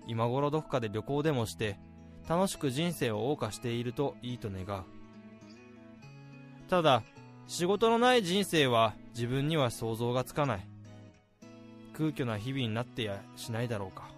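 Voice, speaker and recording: A man playing a reflective salaryman musing in a measured radio drama monologue, with music behind. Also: mid-range voice, expressive, lightly acted. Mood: wistful, reflective. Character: wistful male salaryman narrator musing on a departed colleague.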